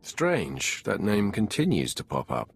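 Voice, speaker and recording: An actor playing a skeptical, commanding dark lord in a video game, curt and authoritative in manner. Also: male voice, lowish voice, huge pitch swings, unhurried, vocal fry, slightly rough, heavily acted. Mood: probing.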